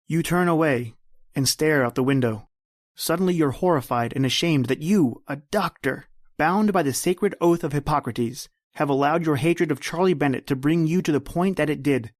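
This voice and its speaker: A man's voice: a little high, moderate pace, no echo. Man narrating radio suspense, dry close sound. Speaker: podcast host and narrator. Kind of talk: scripted second-person suspense narration.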